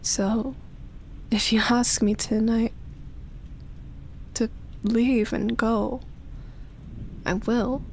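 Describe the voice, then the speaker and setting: A woman playing a seductive, tender yet obsessive immortal vampire in an intimate ASMR roleplay, breathy and teasing, slipping into whispers. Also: fairly high pitch, fairly even intonation, extremely slow, long pauses, slight background noise, rough recording. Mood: earnest, possessive. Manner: breathy teasing, soft sighs and chuckles, whispered phrases.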